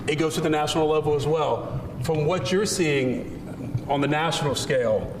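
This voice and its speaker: Man, news panel host, fairly high voice, echoey room, noisy recording. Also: normal speed. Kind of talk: moderated panel discussion.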